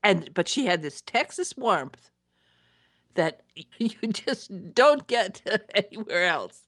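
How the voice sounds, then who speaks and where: A woman interviewing conversationally, with halting phrases and emphatic stress on key words. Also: mid-range voice, sing-song, fairly bright tone, no echo, clean studio sound.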